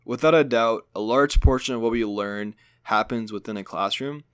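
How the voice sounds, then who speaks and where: A young man whose first language is Hungarian, narrating a school video project in a relaxed, conversational way with fillers. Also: medium pitch, moderate pace, clean tone.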